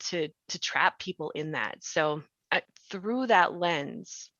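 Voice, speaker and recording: A woman's voice: lowish voice, expressive, takes it slowly, fairly bright tone, dry recording. A woman in a conversational discussion, explaining her points, with a slightly dull recording. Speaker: writer discussing her show.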